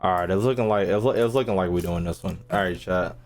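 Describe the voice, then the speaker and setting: A man's voice: low-pitched, quick pace. A man livestreaming a game, chatting casually to his viewers in slangy, profane, joking talk. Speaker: game streamer.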